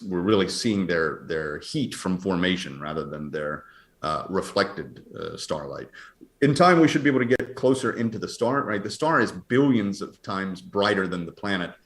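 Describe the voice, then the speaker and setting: A man speaking as an astronomer guest, explaining science conversationally in a halting, thinking-aloud way. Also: low-pitched. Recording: roomy, echoey sound.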